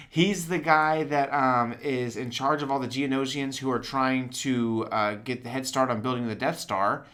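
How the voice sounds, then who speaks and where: A man co-hosting a podcast in casual, joking chat, his voice a bit raspy from yelling. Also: mid-range voice, steady tone, measured pace. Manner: enthusiastic, joking. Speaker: podcast co-host.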